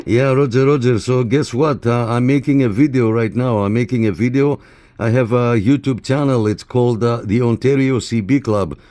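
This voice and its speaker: A man's voice: mid-range voice, normal speed, dark tone. A man making casual radio contacts and chatting to viewers, informal and repeating radio call phrases. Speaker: CB radio operator and YouTube channel host. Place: indoors in a room at a radio set. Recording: clear background noise and radio clicks.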